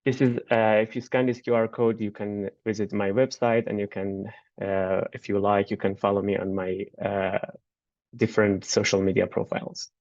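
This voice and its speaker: A man, a Kurdish speaker, giving an earnest online research presentation and often pausing with "uh". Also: lowish voice, little pitch movement, a little mellow, no room sound. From Iran. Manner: earnest, pauses with frequent "uh". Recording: dull, dry lo-fi webinar audio.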